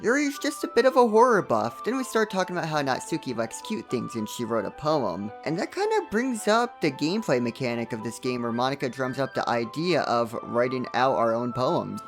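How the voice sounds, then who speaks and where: Animated man doing comedic review narration. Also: medium pitch, moderate pace, hardly any pauses. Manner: sarcastic, joking asides. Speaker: video game reviewer. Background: background music.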